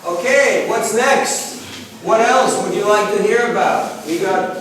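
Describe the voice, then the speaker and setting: A man's voice: high voice, unhurried, fairly bright tone. A man leading an informal seminar in a conversational, encouraging manner. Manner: encouraging, conversational, acts out short dialogues. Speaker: course leader, Hare Krishna teacher. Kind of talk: informal seminar teaching to a group. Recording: echoey room, distant mic, noisy.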